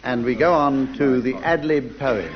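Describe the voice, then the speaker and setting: A man's voice: mid-range voice, a little slow. A man chairing an unscripted comedy panel game in front of a studio audience. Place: live show before a studio audience. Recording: dull noisy old radio recording.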